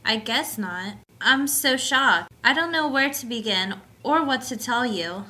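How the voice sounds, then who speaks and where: A woman's voice: fairly high pitch, lively intonation, a little slow, pushed and bright. A woman playing a polite, innocent-seeming housewife and hostess in a genteel, dramatic 1950s radio style. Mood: shaken, hesitant. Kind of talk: 1950s radio mystery acting.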